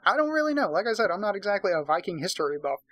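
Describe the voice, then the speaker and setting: A man's voice: high-pitched, sing-song, rapid-fire, a bit bright, dry recording. A tipsy man hosting a casual comic read-aloud, narrating and breaking off for sweary, sarcastic commentary. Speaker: host, narrator.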